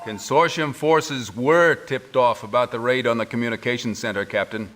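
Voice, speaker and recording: A grave middle-aged male general, played by an actor giving a game mission briefing. He is formal, deliberate and commanding at a medium pitch, with faint noise behind him. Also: a bit bright, close to an everyday voice. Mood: grave, resolute.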